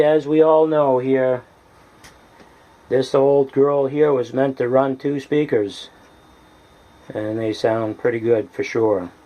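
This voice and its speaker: A man presenting a casual hands-on tutorial as a hobbyist, speaking in a halting, deliberate, plain-spoken way. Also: medium pitch, darkish tone, highs cut off. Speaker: hobbyist presenter demonstrating radio gear.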